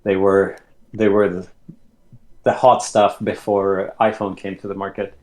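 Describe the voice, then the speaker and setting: A man in his late thirties from Sweden, telling his life story as an interview guest in an unhurried way, often restarting his sentences. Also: deep voice, flat delivery, darkish tone. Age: late 30s. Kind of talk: casual conversation.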